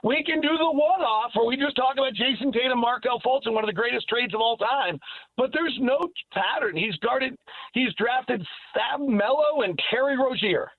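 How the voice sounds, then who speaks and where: Male call-in sports commentator, high register, emphatic and argumentative, narrow telephone-band sound with no room echo. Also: lively intonation, moderate pace. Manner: emphatic, argumentative, repeats points. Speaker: sports radio commentator and guest. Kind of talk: opinionated sports talk. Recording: narrow phone-like line.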